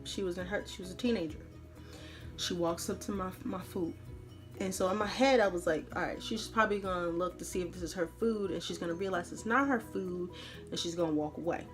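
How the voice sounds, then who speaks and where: A woman podcast host telling a personal story in an animated, colloquial way, dramatically acting out the dialogue. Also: lowish voice, fairly quick, soft background music.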